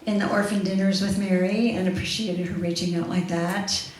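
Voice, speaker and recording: A woman's voice: medium pitch, steady tone, normal speed, a little mellow. A woman telling informal anecdotes to a memorial gathering, chuckling as she reminisces. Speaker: speaker sharing memories at a memorial. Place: echoey back room at a gathering. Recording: very echoey room, clearly noisy.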